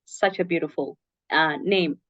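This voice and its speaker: A woman's voice: deep voice, measured pace, a bit bright, no room sound. A woman hosting a casual interview, responding in an appreciative, reflective way, recorded in slightly dull, lo-fi audio. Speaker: interview host.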